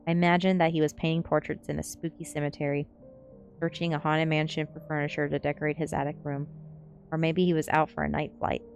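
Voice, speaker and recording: Woman, low pitch, storybook narration, ASMR stream reading, small stumbles, faint music behind. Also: level tone, quick pace, vocal fry, a little mellow, muffled recording. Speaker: streamer reading a novel aloud.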